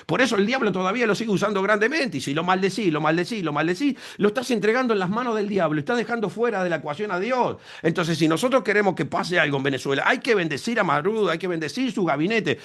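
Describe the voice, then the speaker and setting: A man's voice: high voice, fairly bright tone. A man preaching a sermon as pastor, emphatic, repeating phrases and asking rhetorical questions.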